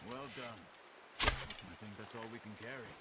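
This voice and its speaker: An actor playing a calm, watchful, pragmatic outlaw hunter in a video game cutscene, speaking in a measured, matter-of-fact way. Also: male voice, mid-range voice, very noisy, lightly acted. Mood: hushed, instructive.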